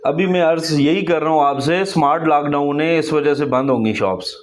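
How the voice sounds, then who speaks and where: A man's voice: a little high, moderate pace. A man talking on a phone call in a polite, deferential manner, often repeating himself. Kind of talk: phone conversation. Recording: clearly noisy field recording.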